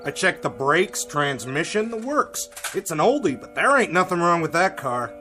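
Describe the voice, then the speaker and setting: An actor playing a gruff, slangy working-class man such as a mechanic, blunt, in a radio drama. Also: on the high side, sing-song, moderate pace, music in the background. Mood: blunt, casual.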